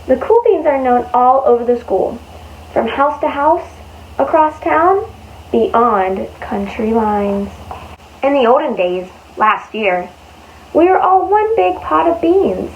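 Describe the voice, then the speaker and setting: High-pitched woman reading a children's story. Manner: animated, exaggerated emphasis with drawn-out words. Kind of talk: expressive read-aloud of a picture book for young children. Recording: dull lo-fi sound with faint noise.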